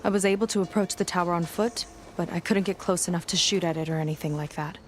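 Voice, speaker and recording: An actress playing a proud, determined young naval petty officer in a video game cutscene, speaking with earnest intensity. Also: female voice, fairly low pitch, fast, slight background noise, close to an everyday voice. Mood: earnest, resolved. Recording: music behind the voice.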